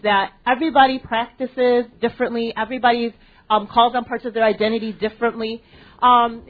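An American woman in her young adult years speaks to an audience at a library panel, her voice sitting a bit high. She keeps asking questions of the room and trips over restarts and ums as she goes. The recording is narrow and tinny, like a phone line. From United States. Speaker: panel moderator addressing a student audience. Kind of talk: public talk with audience interaction. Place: library event space.

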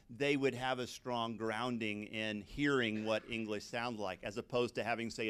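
A man's voice: medium pitch, a bit bright, clear, resonant tone. A middle-aged man from suburban America with an American accent, an English teacher telling personal stories in a talk, conversationally and with frequent asides. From suburban United States. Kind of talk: personal storytelling in a talk to an audience.

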